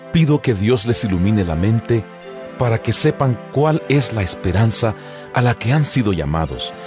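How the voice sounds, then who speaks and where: Low voiced guy reading the bible like a dramatic audiobook, solemn and really expressive, music behind. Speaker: Bible narrator. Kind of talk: dramatized scripture audiobook narration. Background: background music.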